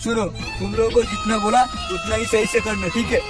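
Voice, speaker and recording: A man's voice: high-pitched, level tone, quick pace, music in the background, lightly acted. A man voicing a rowdy, crude cartoon troublemaker, in melodramatic comedy dialogue. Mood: menacing. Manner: brash, swearing, melodramatic.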